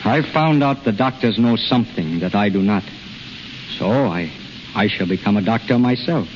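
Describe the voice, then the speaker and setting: A man's voice: lowish voice, lively intonation, moderate pace, close to an everyday voice. An actor in a 1930s radio drama playing a proud, tormented healer, urgent and commanding, often repeating his words. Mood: defiant. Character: intense, proud, tormented male self-taught healer. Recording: noisy, dull 1930s radio recording.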